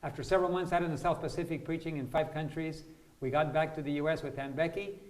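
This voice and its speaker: Higher-pitched male host, halting delivery. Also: fairly even intonation. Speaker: host, missionary preacher. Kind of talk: interview introduction.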